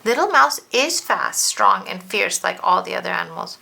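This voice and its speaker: A woman's voice: on the low side, animated, normal speed, vocal fry, bright, forceful tone, full character voice, rough recording. A woman voicing an earnest, brave young elephant-headed boy god in a storybook, speaking with emphasis. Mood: determined.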